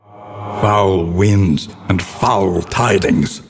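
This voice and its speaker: A low-voiced, foreboding narrator for a gothic horror game, trailing long reverb. He is solemn and theatrical, weighing each phrase with grave, portentous care. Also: gravelly, soft background music, full character voice. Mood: ominous, foreboding. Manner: solemn, portentous, deliberate diction.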